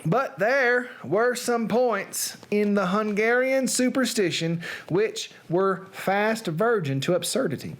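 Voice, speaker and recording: Someone putting on a southern drawl reading old gothic horror, real slow n dramatic, pitch all over, trips on big words. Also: expressive. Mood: solemn, measured. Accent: American Southern. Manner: slow, drawn-out, halting on long words.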